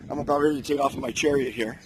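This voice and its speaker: A man talking casually and enthusiastically to his camera outdoors, his voice going hoarse from overuse. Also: mid-range voice, fairly even intonation, very fast, noisy background. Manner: upbeat, enthusiastic. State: losing his voice. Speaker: vlogger. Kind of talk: casual vlog chat. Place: outdoors at a resort, windy.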